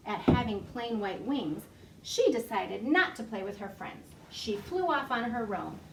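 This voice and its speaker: A woman librarian reading a picture story aloud to young children from her home, animated and full of gasps. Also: fairly high pitch, far from the mic, slight background noise. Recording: echoey room, voice off-mic.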